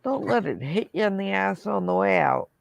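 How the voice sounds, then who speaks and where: Gravelly chatty woman host. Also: medium pitch, expressive, normal speed, dry recording. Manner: chatty storyteller, quotes herself in asides. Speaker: stream host. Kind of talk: casual group chat on a stream.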